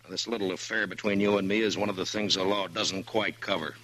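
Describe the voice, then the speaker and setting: An actor playing a calm, tough frontier marshal in a 1950s radio drama, dry and clipped. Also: male voice, sits low, fast talker, low-quality recording. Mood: steady. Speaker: town marshal. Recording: old radio broadcast.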